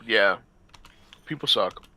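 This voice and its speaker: A man's voice: lowish voice, takes it slowly, bright, forceful tone. A man chatting casually in a blunt, profane, slangy way, rambling through his opinions.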